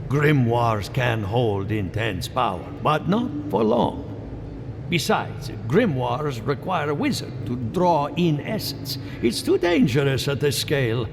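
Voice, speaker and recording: Video game researcher,man: fussy, learned, theatrical, unhurried delivery in a reverberant, noisy space. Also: medium pitch, exaggerated voice. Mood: explaining. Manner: expressive, theatrical. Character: fussy, brainy male animancer researcher in a workshop. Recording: long reverb, clear background noise.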